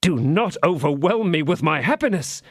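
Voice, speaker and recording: A man voicing an ardent young male swordsman who flatters with theatrical, sentimental pleading. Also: on the high side, moderate pace, dry recording, clean studio sound, voice pushed up.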